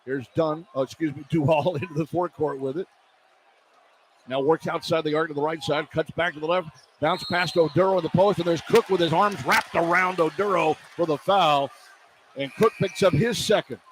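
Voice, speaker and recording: A man doing live basketball play-by-play on the radio as the announcer, animated and quick to exclaim at the action. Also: a little high. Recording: poor radio feed, clear background noise.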